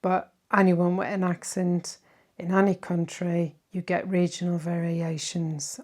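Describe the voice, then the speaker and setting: A middle-aged woman with a softened Irish accent, chatting casually as she answers viewer questions. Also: lowish voice, little pitch movement, a little slow. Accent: Irish, softened by decades in southern England. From Ireland. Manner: chatty and warm-hearted, many "um"s and tag questions. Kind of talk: casual Q&A chat.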